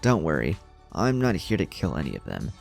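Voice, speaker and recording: Man voicing a deep, menacing mist villain. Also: fairly quick, gravelly, faint music behind, full character voice. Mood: calm menace. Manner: calm, taunting, maniacal.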